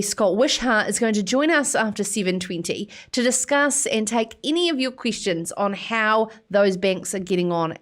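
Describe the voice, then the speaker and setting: A bubbly woman hosts a live country show. She keeps it chatty and teasing, with quick laughs between her links. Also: medium pitch. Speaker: host.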